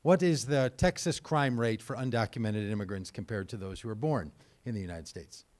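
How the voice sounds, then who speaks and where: Lively man hosting a live event. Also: fairly low pitch, fairly quick. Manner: energetic, conversational, quizzing the audience. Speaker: host. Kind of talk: live event talk with trivia. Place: indoor event venue.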